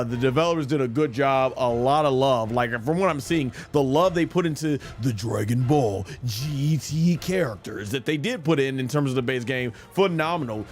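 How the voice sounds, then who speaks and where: A man giving casual, enthusiastic gameplay commentary as a live streamer, gushing as he talks. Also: mid-range voice.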